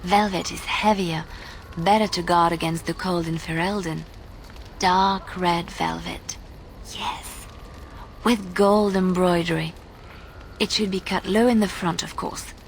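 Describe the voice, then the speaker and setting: A woman's voice: on the low side, fairly even intonation, a little slow, gravelly, a little hiss or hum. An actress playing a sweet, girlish young adventurer in a fantasy game, gushing and coaxing. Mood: dreamy admiration. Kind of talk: fantasy video game voice acting.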